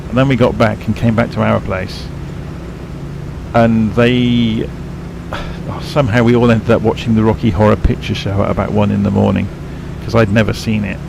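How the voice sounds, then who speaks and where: A sleepy man with a croaky, gravelly voice, pitched a little low, walking viewers through a flight simulator cockpit. He rambles, corrects himself and explains as he goes, over a noticeable hiss of background noise. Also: flat delivery, moderate pace. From England. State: croaky, tired voice. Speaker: flight sim streamer. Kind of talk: casual live-stream commentary.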